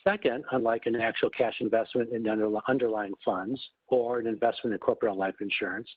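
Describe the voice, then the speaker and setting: Husky guy doing a formal finance webinar, sounds like a tinny phone call with some room echo. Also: lowish voice, fairly even intonation, fast talker. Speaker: corporate treasury executive presenting. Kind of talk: prepared webinar presentation. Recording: muffled phone line, roomy echo.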